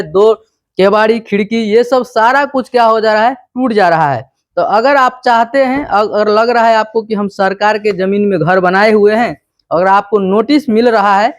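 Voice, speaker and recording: A man with a Bihari accent explaining news informally, in an emphatic, repetitive, instructive way. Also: high voice. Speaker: presenter of a local news explainer channel.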